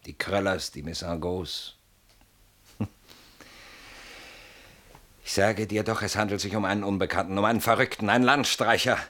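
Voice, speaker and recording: A man's voice: fairly low pitch, moderate pace, long pauses, fairly bright tone, close to an everyday voice. An actor playing a haunted, defensive man in a radio play, speaking in a ruminating, bitterly ironic and theatrical way. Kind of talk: German radio play acting.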